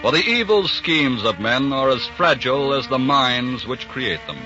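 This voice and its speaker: An American man giving grave, measured, portentous scripted narration for a 1940s radio crime drama. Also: mid-range voice, animated, sharp tone, soft background music. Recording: dull 1940s radio recording.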